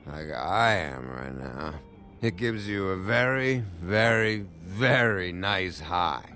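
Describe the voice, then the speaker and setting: An actor playing a cocky, foul-mouthed drunk in a noir video game, gravelly, with a mocking drawl. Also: male voice, deep voice, expressive, slow pace, sharp tone, soft background music, full character voice. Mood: woozy, taunting. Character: drunken, taunting, cocky, foul-mouthed male bar troublemaker.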